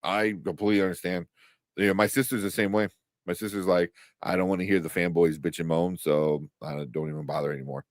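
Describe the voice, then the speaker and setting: A man's voice: low voice, steady tone, a little fast, no echo, clean studio sound. A man hosting a casual live pop-culture chat, rambling enthusiastically and often searching for names. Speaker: host of a pop-culture review show.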